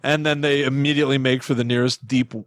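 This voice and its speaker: A man's voice: medium pitch, little pitch movement, fast talker, no echo. A man co-hosting a podcast, telling folklore in a casual, wry and playful chat. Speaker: podcast co-host.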